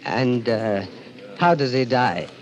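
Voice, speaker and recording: A man's voice: mid-range voice, animated, slow pace. An actor playing an uneasy, desperate man caught in a macabre tale, in 1940s radio drama style. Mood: uneasy, wary. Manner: hesitant in dialogue, intense and dramatic in narration. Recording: muffled old-time radio recording. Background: dramatic music.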